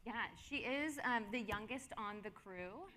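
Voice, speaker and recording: A woman's voice: a little high, unhurried, bright, forceful tone. A woman narrating as game master of a live tabletop game, playful and laughing a lot, on a convention stage.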